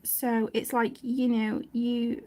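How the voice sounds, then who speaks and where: A woman's voice: on the high side, little pitch movement, slow pace, dark tone. A British woman chatting casually and in a rambling way as she hosts a colouring stream, often breaking off her own sentences. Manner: chatty, rambling, self-interrupting. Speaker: host of an adult colouring channel. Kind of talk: casual live chat while colouring.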